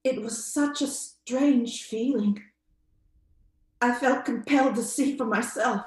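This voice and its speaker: A woman's voice: fairly high pitch, slow pace, a little mellow. Actress playing an uneasy woman, heavy echo. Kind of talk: old-time radio drama acting. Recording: very echoey room.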